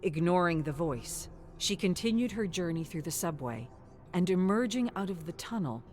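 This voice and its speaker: A woman reading scripted dark fantasy narration in a measured, dramatic storytelling way. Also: fairly low pitch, expressive, soft background music.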